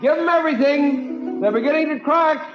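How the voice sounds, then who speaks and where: A man playing an excited young tennis player calling out across the court in an old radio drama. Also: very high voice, moderate pace, roomy sound, music in the background, voice pushed up. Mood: excited, cheering. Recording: dull old radio recording.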